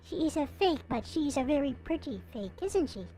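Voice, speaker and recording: A woman giving a casual, unscripted show-and-tell as a vlogger, in a halting way as she thinks aloud. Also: high-pitched, very animated, mellow, slight background noise, low-quality recording. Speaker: vlogger showing collectible figures.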